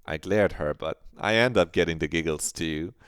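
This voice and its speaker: A man streaming a visual novel playthrough, reading the narration aloud and reacting with animated commentary. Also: sits low, normal speed, clear, resonant tone, no room sound, clean studio sound.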